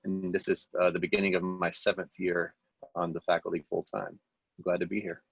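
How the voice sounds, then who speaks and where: A man's voice: deep voice, little pitch movement, brisk, creaky, breathy edge, dry recording. A middle-aged man from North Carolina, a New Testament professor introducing himself on a video call with upbeat enthusiasm. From North Carolina, USA. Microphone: video call webcam. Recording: dull, dry webcam audio.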